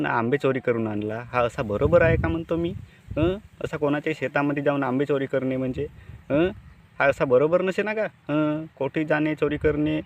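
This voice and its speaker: A man's voice: medium pitch, muffled recording. A man with a Vidarbha accent narrating to viewers in an informal, moralizing and repetitive colloquial way.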